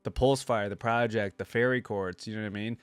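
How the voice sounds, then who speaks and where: A man's voice: on the low side, steady tone, fairly quick, clear, resonant tone, dry recording. A man giving casual, informal reaction commentary, thinking aloud as he goes with plenty of filler words. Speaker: reaction video host.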